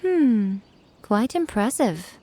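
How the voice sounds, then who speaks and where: A woman playing a sly, regal, teasing shrine maiden in a video game, deliberate and full of mock sympathy. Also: a little high, big pitch swings, dark tone, slight background noise. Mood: amused, curious. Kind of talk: video game cutscene acting. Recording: faint music behind the voice.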